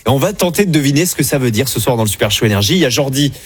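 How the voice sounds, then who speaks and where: A man from southwest France hosting a radio show with lively banter from a studio. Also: medium pitch, rapid-fire, breathy edge, dry recording.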